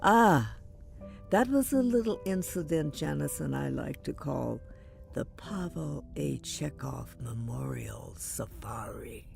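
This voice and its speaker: A woman's voice: extremely low pitch, animated, slow pace, creaky, over music, full character voice. A woman playing an elderly starship captain in a science fiction audio drama, wistful and wry, with a dramatic, emphatic delivery. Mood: wry nostalgia.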